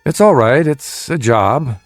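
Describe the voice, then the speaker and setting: An actor in a radio drama playing a weary middle-aged father, gentle but guarded and measured in his delivery. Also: medium pitch, lively intonation, no room sound, faint music behind. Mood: defensive. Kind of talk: naturalistic radio drama acting.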